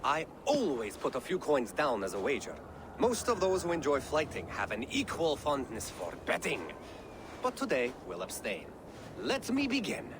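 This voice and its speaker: A man's voice: a little high, huge pitch swings, moderate pace, background noise. A man playing a jovial, witty Viking poet in a video game, theatrical and lilting. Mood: jovial, teasing. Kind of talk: theatrical video game acting, declaiming rhymes.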